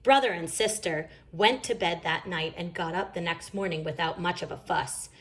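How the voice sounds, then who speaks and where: A woman's voice: lowish voice, moderate pace, a bit bright, rough recording. A woman reading a children's storybook aloud expressively, with dramatic emphasis. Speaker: story reader.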